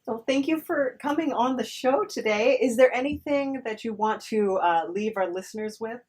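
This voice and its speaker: A woman's voice: on the high side, moderate pace, darkish tone. Woman hosting a casual podcast. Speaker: podcast host.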